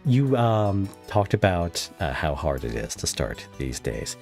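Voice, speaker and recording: Man with an extremely deep voice, measured. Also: sing-song, creaky, darkish tone, faint music behind. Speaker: podcast host, interviewer. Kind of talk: casual conversational interviewing.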